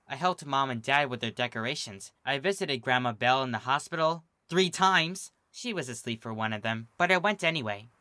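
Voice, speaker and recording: A fan reader voicing a young female unicorn filly who is flustered and defensive, speaking high and stammering. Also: animated, fairly quick, hardly any pauses, sharp tone, no room sound.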